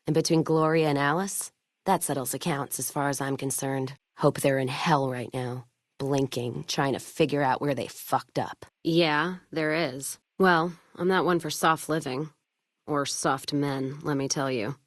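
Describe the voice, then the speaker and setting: Low-voiced, tough wasteland trader woman. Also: fairly even intonation, a little slow, no echo, studio quality. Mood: vengeful, then flirtatious, wry. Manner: blunt, folksy, wry drawl of plain talk. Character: tough, foul-mouthed, vengeful female wasteland caravan trader. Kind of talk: video game dialogue acting.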